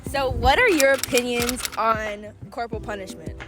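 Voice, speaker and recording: A woman's voice: fairly high pitch, normal speed, over music. A woman interviewing people in a park, asking and explaining casually. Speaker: street interviewer. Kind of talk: reporting and asking questions. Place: outdoors in a park.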